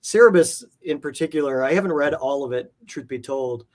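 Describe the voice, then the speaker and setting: A man chatting casually as an interview guest, earnest and halting, circling back over phrases for emphasis. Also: fairly high pitch. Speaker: guest, comic art collector.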